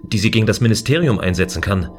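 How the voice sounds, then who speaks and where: A man as a low-voiced, earnest space guardian. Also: expressive, fast talker, faint music behind, natural voice. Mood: apologetic, earnest. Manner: considerate, earnest, explaining. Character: gentle, dutiful male space station guardian. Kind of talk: science fiction audio drama acting.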